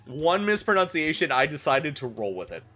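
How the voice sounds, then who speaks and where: Bright-voiced man giving a theatrical reading. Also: on the high side, sing-song, normal speed. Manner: theatrical, often breaks off into amused asides. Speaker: streamer doing a dramatic reading. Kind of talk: comic dramatic reading of fan fiction for a live stream. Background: music.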